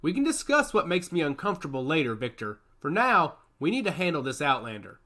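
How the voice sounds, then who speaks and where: A man voicing a calm, firm squad leader who speaks in a measured, authoritative way. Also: a little high.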